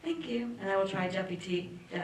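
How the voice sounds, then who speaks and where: A woman's voice: mid-range voice, lively intonation, a little fast, a little hiss or hum. A woman director in a panel audience, asking politely and a little unsure. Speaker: audience member, film director. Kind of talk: audience question. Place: festival panel hall. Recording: echoey room, far from mic.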